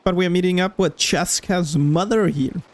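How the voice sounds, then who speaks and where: Higher-pitched creaky young man gaming. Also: normal speed, a crowd in the background. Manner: rambling, informal, thinking aloud. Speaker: video game let's-play host. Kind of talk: casual gameplay commentary. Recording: game music behind commentary.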